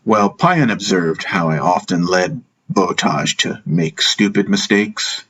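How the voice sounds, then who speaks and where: A man voicing a sardonic, world-weary king who speaks haltingly to his brother in a fantasy audiobook. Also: sits low, fairly bright tone, dull audio, a little hiss or hum. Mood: hesitant, confessional. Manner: sarcastic, halting, hesitant. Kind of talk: fantasy audiobook character acting.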